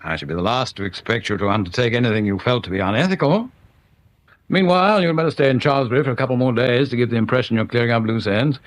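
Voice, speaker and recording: A man's voice: mid-range voice, close to an everyday voice. A man playing a stuffy, evasive English chief constable in a radio drama, speaking formally and pompously. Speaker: actor playing a senior police officer.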